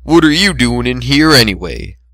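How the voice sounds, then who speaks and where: A big farm stallion at his wits' end, played by a man in a story reading. He sounds exasperated and pleading, in a bright, forceful voice with a dry recording. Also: medium pitch, sing-song, normal speed, no room sound. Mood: bewildered, snarling. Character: flustered, grumbling big male farm stallion.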